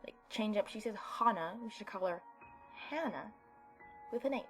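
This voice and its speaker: Casting: female gaming commentator with an average pitch, expressive read-aloud and playful reactions, faint background music. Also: normal speed. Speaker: let's player reading and reacting. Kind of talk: gameplay narration and casual commentary.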